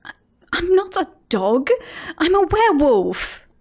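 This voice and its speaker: Woman playing a slow, whispery possessive female werewolf. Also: medium pitch, a little mellow. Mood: indignant. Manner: slow, breathy asides, often dropping into whispers. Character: possessive, lovestruck female werewolf rival, teasing and boastful. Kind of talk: roleplay ASMR audio drama.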